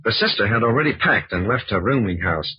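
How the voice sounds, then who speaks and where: An actor playing an earnest, eager junior FBI agent with a husky voice in a 1940s radio drama. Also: male voice, lowish voice, lively intonation, fairly quick. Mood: keen, businesslike. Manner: eager, inquisitive. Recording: muffled old radio recording.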